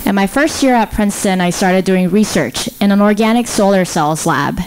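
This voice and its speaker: A woman in her thirties from South Korea and the Philippines, a research scientist giving a prepared talk into a handheld microphone, explaining in a measured way with rhetorical questions. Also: medium pitch, clean tone, dry recording, rough recording. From born in South Korea, grew up in the Philippines. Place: indoor stage.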